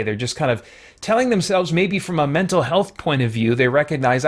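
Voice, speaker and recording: A man hosting a review show, giving reflective, earnest, explanatory commentary straight to his viewers. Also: mid-range voice.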